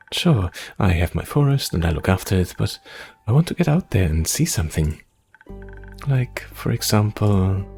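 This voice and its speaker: A man voicing an excitable, anxious young forest dweller who longs to be a bard, rambling and self-deprecating, in a fantasy game. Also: low-pitched, creaky, heavily acted.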